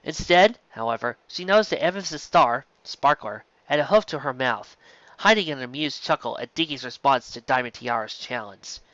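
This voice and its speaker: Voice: mid-range voice, expressive, normal speed, fairly bright tone, dry recording, dull audio. A narrator reading a story aloud from a script. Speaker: audiobook reader of fan fiction.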